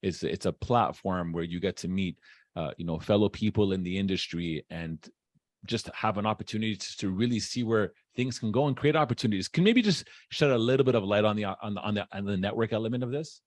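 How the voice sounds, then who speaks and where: A man with a fairly low voice hosts a casual interview. He speaks conversationally, putting questions to guests. The recording is close and dry. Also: fairly quick, no room sound. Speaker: podcast host and interviewer.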